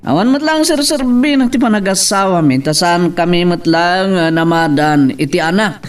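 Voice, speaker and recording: A man's voice: high voice, expressive, moderate pace, recorded from a distance. A man acting a careless, irritable drinking husband with an Ilocano accent in an audio drama. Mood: defiant.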